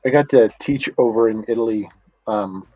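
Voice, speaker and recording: Man, low mellow voice, rambling casual chat, muffled lo-fi call audio, dry office. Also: moderate pace, dry recording, faint music behind. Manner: rambling, many restarts and fillers. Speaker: guest, comics creator. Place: office on a college campus.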